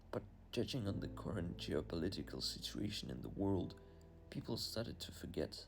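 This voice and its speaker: A man reading a scripted film review voice-over in a grave, measured way. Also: sits low, vocal fry.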